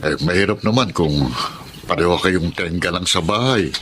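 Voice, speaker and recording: Nosy drinking-buddy type, lowish voice, casual radio drama, noisy recording. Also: male voice, normal speed, a bit bright. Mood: nosy, teasing. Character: gossipy male buddy who stirs up doubt.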